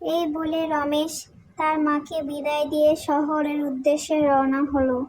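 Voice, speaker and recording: A woman's voice: high voice, flat delivery, normal speed, darkish tone. A woman narrating a cartoon folk tale in a scripted storytelling style.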